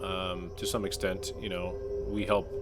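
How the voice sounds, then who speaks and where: A man with a lowish voice chats casually as a podcast co-host and throws in joking asides. Music plays clearly under his voice. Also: level tone, a little slow. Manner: joking asides, friendly chat.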